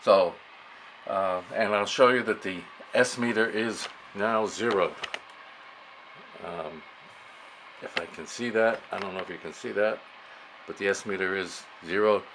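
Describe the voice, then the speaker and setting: A man giving a casual hands-on demonstration as a radio operator, halting and muttering to himself as he works. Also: fairly low pitch. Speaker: amateur radio operator demonstrating vintage gear. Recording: clearly noisy room recording.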